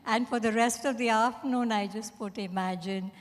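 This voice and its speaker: A woman's voice: a little high, steady tone, normal speed. A woman telling anecdotes conversationally as a speaker at a live literary event, chuckling as she talks. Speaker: book editor, panel speaker. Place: large indoor event hall. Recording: echoey hall with long reverb.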